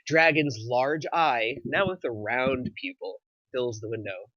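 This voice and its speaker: Man, scripted narration, table read, sharp bright tone, medium pitch, dry slightly lo-fi sound. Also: lively intonation, slow pace, no room sound. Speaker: narrator reading stage directions. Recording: slightly dull, dry recording.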